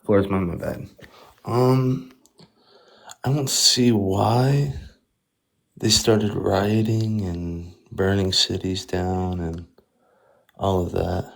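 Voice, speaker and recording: A man from Florida calling into a live stream, talking in a slow, halting, mumbled way. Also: low voice, vocal fry. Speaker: caller.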